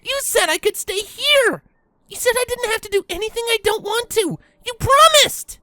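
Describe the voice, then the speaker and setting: A woman's voice: very high voice, animated, moderate pace, bright, forceful tone, no room sound, full character voice. A woman voicing a scared, defiant young pony filly with pleading, emphatic delivery in an acted audio drama. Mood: angry, upset.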